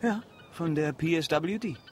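A man's voice: on the high side, moderate pace, a little mellow, a little hiss or hum, natural voice. A man playing an earnest, eager young marine biology student in a radio drama, polite and matter-of-fact. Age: young adult.

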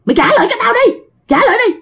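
A woman's voice: very high-pitched, animated, normal speed, sharp tone, voice pushed up, heavily acted. A woman voicing a vengeful dead old man in a ghost story, snarling threats and demanding his severed hand back over and over. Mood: angry, demanding. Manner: snarling, menacing, repeats threats.

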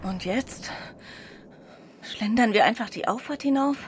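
An actress playing a spirited, teasing detective's wife in a radio crime play. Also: female voice, fairly high pitch, unhurried, lightly acted. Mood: questioning. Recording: loud vehicle noise behind.

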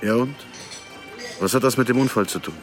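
A man's voice: medium pitch, a little fast, faint music behind, lightly acted. A man playing an unruffled, sarcastic bar owner under suspicion in a radio drama, ironic and mock-innocent. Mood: provocative, amused. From Lebanon. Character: smooth-talking, sarcastic male bar owner, unruffled suspect.

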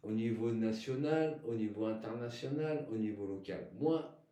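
Echoey low-voiced Frenchman, Arabic speaker. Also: moderate pace, dark tone. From France, Paris region. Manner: emphatic, rhetorical questions, repeats phrases for emphasis. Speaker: football coach, podcast guest. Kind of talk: impassioned conversational interview. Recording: echoey room sound.

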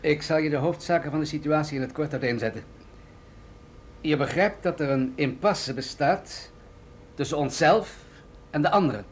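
An actor in a radio drama playing an authoritative military general, grave and deliberate as he explains things. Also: on the high side, a little hiss or hum, close to an everyday voice. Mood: earnest, persuasive.

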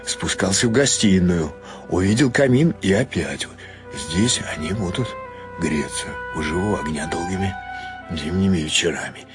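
A man's voice: low voice, expressive, moderate pace, over music. A man narrating a literary short story in a dramatised radio reading.

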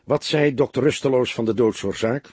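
Guy doing older cop in an audiobook, fatherly n teasing, bit husky, dry close sound. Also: mid-range voice, moderate pace, darkish tone, dry recording, voice pushed up, close to an everyday voice. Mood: probing. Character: seasoned, kindly, wry older police detective.

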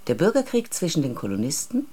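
An actress playing a composed, resolute rebel agent in a science-fiction radio drama, speaking in a measured, matter-of-fact way. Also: female voice, sits low, huge pitch swings, dark tone, breathy edge, full character voice. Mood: calm, explaining.

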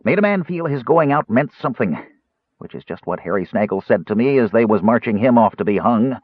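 A man's voice: mid-range voice, expressive, a little fast, slightly rough, no room sound, muffled recording, voice pushed up. A man voicing a toothless, cunning old jailer in an audiobook, with a thin, whiny, cackling voice and folksy grammar. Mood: morbid, wistful.

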